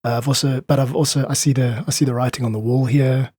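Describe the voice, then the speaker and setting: A man with a slightly rough, husky voice at an ordinary male pitch. He explains things articulately and thoughtfully, as a guest in an interview. The sound is dry and close, with little room to it. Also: little pitch movement, quick pace, a little mellow, no room sound. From South Africa. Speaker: podcast guest, independent musician.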